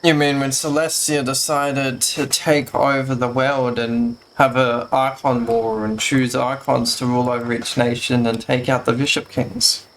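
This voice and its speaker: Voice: medium pitch, flat delivery, a little slow, hardly any pauses, a little hiss or hum, low-quality recording. A streamer giving casual commentary while playing a game, musing aloud in a hesitant way. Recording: game music behind the voice. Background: video game music.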